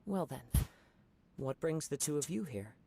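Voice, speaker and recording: An actor playing a calm, polite young wandering samurai in a video game, speaking in a formal, measured way. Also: male voice, fairly high pitch, vocal fry, heavily acted. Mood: reserved.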